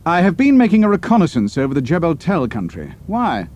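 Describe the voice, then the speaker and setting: An actor playing a cool, quick-witted military pilot in an audio drama. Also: male voice, a little high, wildly swooping pitch, noisy background. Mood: brisk, guarded.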